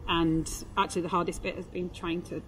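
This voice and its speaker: A woman's voice: fairly low pitch, flat delivery, brisk, a little hiss or hum, poor audio. A woman from Yorkshire chatting enthusiastically about auditioning, laughing often. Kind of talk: casual interview chat. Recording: crowd chatter behind.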